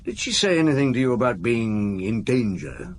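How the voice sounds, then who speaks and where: Police chief inspector, lively pitch, measured questions, radio drama. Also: male voice, slight background noise. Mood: probing. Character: calm, probing male police chief inspector.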